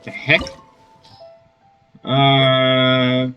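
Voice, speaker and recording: A man's voice: medium pitch, completely flat delivery, drawn-out words, clear, resonant tone, highs cut off, soft background music. A man giving casual commentary as he plays a game, muttering to himself with short reactive exclamations. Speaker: gamer playing through a game.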